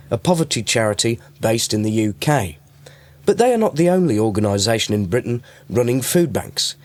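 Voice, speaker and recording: A man's voice: mid-range voice, no room sound. A man reading scripted narration as a reporter for a radio podcast.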